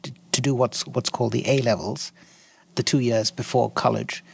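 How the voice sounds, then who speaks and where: A man in his sixties from India, a guest in an interview, speaking in an articulate, measured way with frequent ums and restarts. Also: medium pitch, steady tone, gravelly, husky, no room sound. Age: 60s. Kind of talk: interview conversation.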